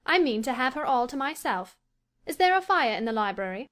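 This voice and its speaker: A woman's voice: high voice, big pitch swings, fairly quick, fairly bright tone, pitched above the performer's own voice. A woman voicing a proud, spoiled young lady in a storybook reading, haughty and commanding. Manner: imperious, commanding.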